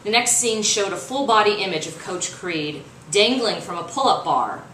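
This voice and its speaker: A woman reading fiction aloud as its author, in expressive, dramatic narration. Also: mid-range voice, normal speed. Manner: dramatic, acts out dialogue. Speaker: author reading their own novel. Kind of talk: expressive audiobook-style narration. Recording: roomy, distant mic, faint noise.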